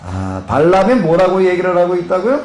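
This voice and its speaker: A man's voice: high voice, wildly swooping pitch, fairly quick, a little mellow. A male pastor with a Jeolla accent lecturing at a Bible seminar, rambling with many fillers and putting questions to his listeners. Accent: Korean Jeolla dialect. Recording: echoey room with faint noise.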